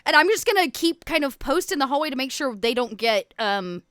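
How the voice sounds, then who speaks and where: A woman's voice: sits high, sing-song, brisk, fairly bright tone, dry recording, clean studio sound. A woman playing a tabletop role-playing game, casually thinking aloud as she improvises her moves.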